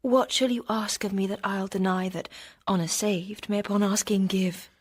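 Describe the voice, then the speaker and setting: A woman's voice: mid-range voice, steady tone, normal speed, lightly acted. An actress playing an ardent, proud noble lady in love, speaking with formal yearning in a Shakespearean radio drama.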